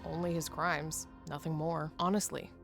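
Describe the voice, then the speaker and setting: Bounty hunter for a Western game, played by a woman: low female voice, guarded, sardonic, over clear music. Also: little pitch movement, normal speed, a bit bright. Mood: pleading. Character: wary, sardonic bounty hunter newly arrived in town. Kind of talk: visual novel voice acting.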